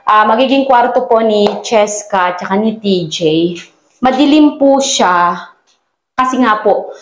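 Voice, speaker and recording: Middle-aged woman touring her unfinished house. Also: medium pitch. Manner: polite and courteous, guiding the viewer room by room. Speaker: homeowner giving a house tour. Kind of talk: polite walkthrough narration. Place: unfinished house interior under renovation.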